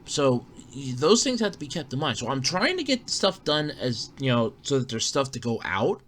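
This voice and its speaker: Bright-voiced man with a scratchy throat. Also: medium pitch, very animated, normal speed. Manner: rambling, frequent fillers and restarts. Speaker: podcast host. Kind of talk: casual solo podcast monologue.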